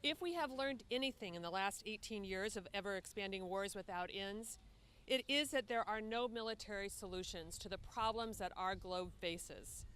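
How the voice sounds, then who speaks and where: A woman delivering a prepared, formal advocacy speech at a press conference in a measured, earnest manner. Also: medium pitch, clean tone. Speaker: advocacy group leader speaking at press conference. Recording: steady background ambient noise.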